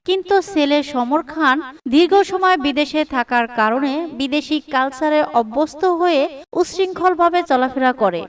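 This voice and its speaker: A woman's voice: sits high, distant mic. A woman narrator reading a scripted moral story for a cartoon.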